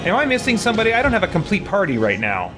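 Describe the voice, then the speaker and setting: A man's voice: high-pitched, wildly swooping pitch, racing. Male gamer for casual playthrough commentary, meandering think-aloud talk, audible game soundtrack underneath. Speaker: streamer playing a role-playing game. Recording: game music behind the voice. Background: video game music.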